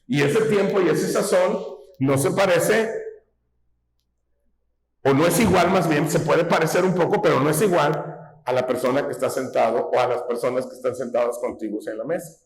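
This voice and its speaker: A man's voice: a little high, moderate pace. A man preaching a sermon in a folksy teaching tone, often asking his listeners whether they follow him. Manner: folksy, asks rhetorical questions, teaching tone. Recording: echoey hall.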